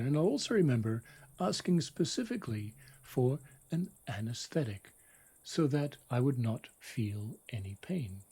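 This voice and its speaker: A middle-aged man with a husky voice that often drops to a whisper, telling a scripted bedtime story in a wry, dramatic, deliberate way. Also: medium pitch, warm tone.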